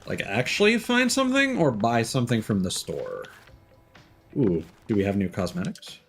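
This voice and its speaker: A man's voice: medium pitch, very animated, normal speed, creaky, faint music behind. A man giving casual livestream commentary on a video game, rambling and thinking aloud.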